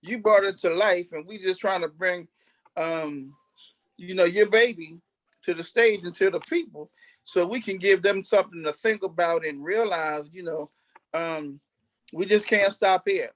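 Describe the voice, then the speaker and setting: A man with a high-pitched voice talks as a cast member in an unscripted discussion. He is emphatic and circles back over his phrases with restarts. The sound is dry and close. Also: no room sound, poor audio. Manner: emphatic, repetitive, halting restarts. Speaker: stage play cast member.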